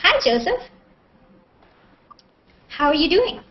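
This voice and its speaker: A woman's voice: very high voice, sing-song, slow pace. A woman chatting casually and playfully as she hosts a live question-and-answer video call. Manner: playful, joking, self-mocking. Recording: muffled, lo-fi internet video call.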